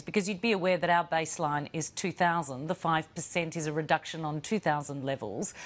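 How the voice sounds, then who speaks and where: Woman interviewer, low-pitched, probing and measured. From Australia. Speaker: news interviewer. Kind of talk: news interview.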